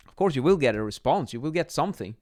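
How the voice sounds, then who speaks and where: A man in his thirties explaining as an instructor in an informal lesson, a little halting as they think aloud, recorded on a close microphone in a small room. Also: fairly high pitch, expressive, darkish tone. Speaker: acoustics instructor, presenter. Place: small home office, indoors. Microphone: microphone on boom arm, close.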